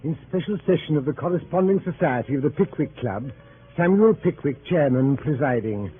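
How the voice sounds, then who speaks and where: An actor playing a grandiloquent storyteller, narrating with pompous, mock-solemn formality in 1930s radio drama style. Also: male voice, fairly high pitch, lively intonation, normal speed, lightly acted. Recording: hissy narrow-band 1938 radio recording.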